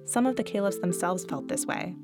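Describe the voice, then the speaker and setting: A woman with a fairly low voice narrates a podcast script in a clear, even way. Soft music runs faintly behind her. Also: brisk. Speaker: podcast host.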